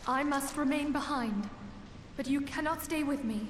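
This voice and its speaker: A woman playing a gentle, solemn, devoted young leader in a fantasy game, with earnest, formal, archaic delivery. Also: sits high, normal speed, a little hiss or hum, lightly acted. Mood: solemn pleading. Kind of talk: scripted fantasy game cutscene acting. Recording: echoey hall reverb with music behind.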